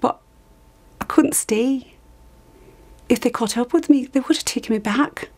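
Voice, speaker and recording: A woman acting out a dramatized monologue as an anguished asylum survivor recalling her past, halting, with emphatic repetitions. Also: a little high, darkish tone. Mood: desperate, resolute. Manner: halting, emphatic repetition, sudden drops to whisper. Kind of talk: dramatized monologue, confessional testimony.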